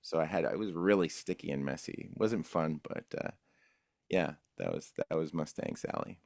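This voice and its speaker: A man with a notably deep, low-pitched voice talks through his old voice-acting gigs in a loose, rambling, easygoing manner. The sound is dry and close, with no room echo. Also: normal speed, gravelly, darkish tone. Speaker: voice actor guest. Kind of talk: casual interview recollection.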